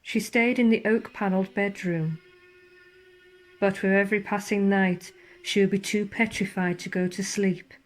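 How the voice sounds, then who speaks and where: A woman narrates a ghost story in a theatrical, suspenseful manner, with deliberate pauses and formal, old-fashioned wording. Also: mid-range voice, poor audio. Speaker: ghost walk guide and storyteller. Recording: clear background ambience under the voice. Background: spooky horror ambience sounds.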